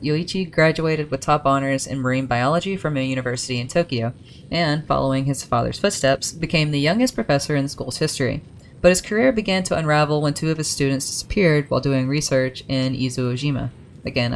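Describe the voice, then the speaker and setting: A woman's voice: extremely low pitch, quick pace, a little hiss or hum. A woman gaming creator reading game lore aloud, breaking off for chatty asides about perks and pronunciation. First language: English.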